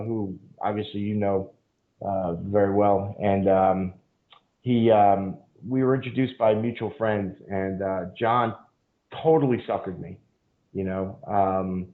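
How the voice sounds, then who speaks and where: A man guest on a podcast telling long casual stories, full of fillers and swearing. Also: lowish voice. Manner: rambling, frequent fillers and profanity. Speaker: podcast guest, jiu-jitsu instructor. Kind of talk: casual storytelling interview. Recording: phone-like narrow band.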